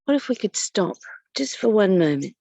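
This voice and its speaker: A woman reading a spiritual text aloud and adding her own commentary as a teacher, speaking deliberately with pauses and repeated phrases. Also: medium pitch, very animated, gravelly, dark tone, no echo. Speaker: spiritual study teacher and reader.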